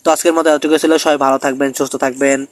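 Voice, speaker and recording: A man's voice: mid-range voice, flat delivery, racing. A man hosting a step-by-step tech tutorial, often checking in with his listeners as he narrates.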